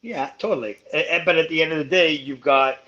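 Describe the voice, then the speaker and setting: A man talking on a podcast as a guest, laying out money advice in an emphatic, explanatory way and slowing down to stress his points. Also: fairly high pitch, highs cut off, crowd noise. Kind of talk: casual podcast chat.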